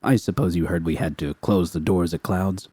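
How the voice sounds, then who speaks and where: A man voicing a bloated, menacing gang boss who speaks slowly and smugly. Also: deep voice, fairly even intonation, warm tone, breathy edge, no room sound, studio quality. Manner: slow, smug, threatening.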